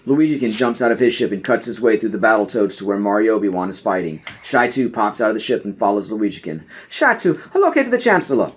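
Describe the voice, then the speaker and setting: A man's voice: on the low side, quick pace, few pauses, slightly rough. A man doing scripted narration for a podcast audio play, reading out stage directions.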